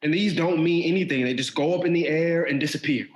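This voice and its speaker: Man acting guarded younger brother, rapid speech, exasperated, defensive, earnest, echoey room, audio play dialogue. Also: fairly high pitch, close to an everyday voice. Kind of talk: stage-style audio drama dialogue.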